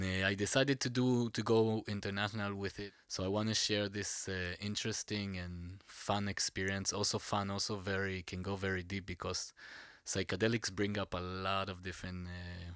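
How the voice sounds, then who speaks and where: A man's voice: low voice, flat delivery, normal speed, few pauses, fairly bright tone, no room sound, studio quality. A man with an Israeli accent, a native Hebrew speaker, hosting a podcast in casual chat and rambling with many fillers and restarts. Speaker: podcast host.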